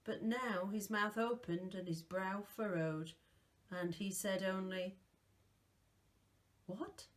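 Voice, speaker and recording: A woman reading a novel aloud as a storyteller, in a slow, deliberate manner. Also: medium pitch, fairly even intonation.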